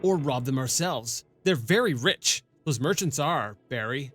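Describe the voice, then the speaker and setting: A man voicing an eager, simple young peasant lad in a soft, coaxing tone while reading a story aloud. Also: mid-range voice, expressive, a little slow. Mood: scheming.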